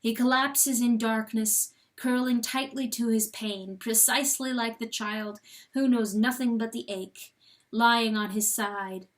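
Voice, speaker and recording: A woman giving a dramatic, intense and deliberate storybook narration. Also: fairly high pitch, steady tone. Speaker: audiobook narrator.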